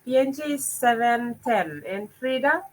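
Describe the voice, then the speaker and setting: A woman's voice: fairly high pitch, animated, moderate pace. A woman from Papua New Guinea hosting a live online chat over a video call, with hesitant fillers. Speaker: host. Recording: noisy video call audio.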